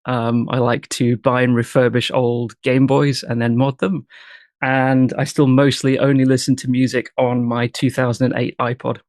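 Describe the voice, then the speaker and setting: A man from England, a tech lead, introducing himself in a relaxed way on a video call. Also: medium pitch, normal speed, no echo, studio quality.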